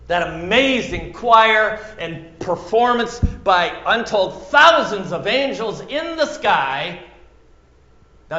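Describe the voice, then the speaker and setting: Male preacher, Minnesota accent, fairly high voice, dramatic delivery, echoey muffled room. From central Minnesota. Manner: dramatic, swings from loud to hushed, acts out quotes. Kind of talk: sermon.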